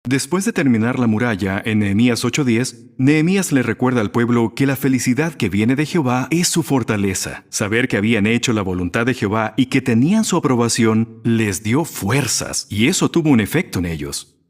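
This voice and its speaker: A man delivering a prepared religious sermon in a measured, didactic manner. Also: medium pitch, expressive. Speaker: religious speaker at a graduation.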